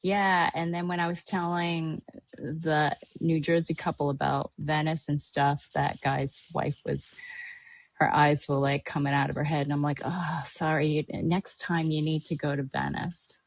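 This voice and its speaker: A middle-aged woman from Hawaii tells a casual, rambling story as a podcast host, full of fillers and false starts. Her voice sits a little low, and the sound is dry and close. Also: little pitch movement, unhurried, no echo, poor audio. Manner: chatty, rambling with many fillers and restarts. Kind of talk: casual chat recapping a trip.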